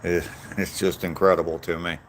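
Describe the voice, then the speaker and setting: A man's voice: low voice, little pitch movement, moderate pace, a little hiss or hum. A man in his sixties chatting casually on a walk, answering viewer comments in a meandering, self-deprecating way. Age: 60s. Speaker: vlogger reading live chat on a walk. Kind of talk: casual rambling chat. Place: outdoors on a walk.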